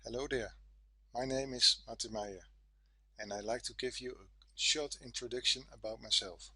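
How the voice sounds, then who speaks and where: A man with a Dutch accent, a native Dutch speaker, giving a software tutorial in careful, simple phrases. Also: mid-range voice, steady tone, moderate pace, breathy edge, no room sound, rough recording. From Netherlands. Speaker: software developer, guest presenter.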